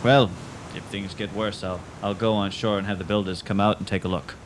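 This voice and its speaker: An actor playing a calm, solitary senior lighthouse keeper from the nineteenth century in a radio drama, musing and unhurried. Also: male voice, lowish voice, slight background noise, close to an everyday voice. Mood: steady, practical.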